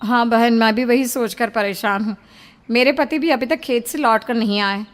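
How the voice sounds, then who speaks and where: A woman's voice: on the high side, normal speed, close to an everyday voice. A woman playing a worried middle-aged village wife and mother in a horror audio story. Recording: long reverb.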